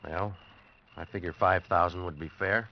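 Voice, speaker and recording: An actor playing a tough, wry American fugitive with clipped delivery in a vintage radio adventure drama. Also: male voice, deep voice, fairly even intonation, moderate pace, gravelly. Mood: guarded. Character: hard-boiled American fugitive man narrating his own adventure. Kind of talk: 1950s radio drama acting and narration. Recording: dull vintage radio recording with music.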